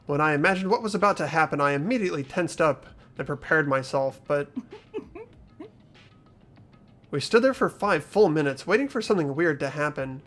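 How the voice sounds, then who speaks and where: A man playing an earnest, uneasy high school boy in a fan-dubbed visual novel. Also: animated, moderate pace, a bit bright, soft background music. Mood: tense narrating.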